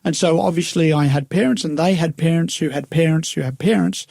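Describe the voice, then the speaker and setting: A man's voice: fairly high pitch, a little fast, gravelly, darkish tone, no room sound, clean studio sound. A man co-hosting a faith radio talk, musing aloud and reflective, with plenty of "uh" and "you know". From United Kingdom. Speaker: radio co-host.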